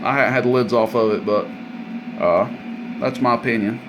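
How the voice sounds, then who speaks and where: A man's voice: mid-range voice, fairly even intonation, fairly quick, noisy background. A man giving a casual, hands-on radio equipment demonstration in a plain-spoken, folksy, informal way. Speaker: product demonstrator and seller.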